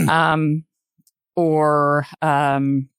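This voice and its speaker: An older woman with a Canadian accent, a guest speaking reflectively in a podcast interview, hesitant and thoughtful, often pausing mid-sentence. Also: low-pitched, little pitch movement, smooth, no room sound. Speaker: podcast guest, retired journalist.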